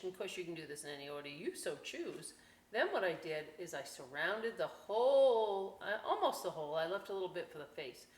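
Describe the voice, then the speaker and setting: A woman hosting a children's library story time and craft demonstration, talking in a folksy, playful way with chatty asides to young viewers. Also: fairly low pitch. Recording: echoey room, some background noise.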